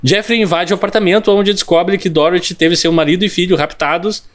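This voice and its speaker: A man's voice: high-pitched, fairly even intonation, brisk. A man co-hosting a casual film podcast, reading a plot summary aloud with joking asides and occasional stumbles. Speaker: podcast co-host.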